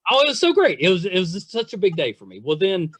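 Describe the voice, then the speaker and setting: A middle-aged man hosting a podcast, chatting and telling stories in an excitable, effusive way, repeating phrases for emphasis. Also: high-pitched, fast, no echo. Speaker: podcast host interviewing a guest. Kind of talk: casual chat, storytelling.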